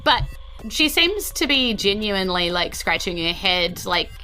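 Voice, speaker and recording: A woman with a New Zealand accent runs a tabletop game in a bright voice. Her narration is casual and improvised, full of "like", over faint music. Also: medium pitch, very animated, unhurried, poor audio. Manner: casual, says "like" often. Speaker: game master.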